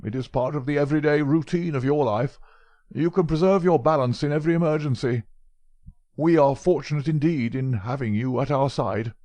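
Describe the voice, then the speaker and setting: A man's voice: on the high side, a little fast, dark tone, no echo, voice pushed up, close to an everyday voice. A man voicing a frail, fussy old male professor who speaks in a precise, mincing way. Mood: anxious, flattering.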